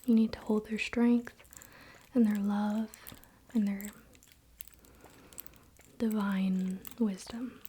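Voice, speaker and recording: A young woman whispering in a breathy, gentle, casual way as she hosts a spiritual ASMR ritual. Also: medium pitch, fairly even intonation, slow, pauses often. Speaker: ASMR host. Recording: faint background noise, soft clicks.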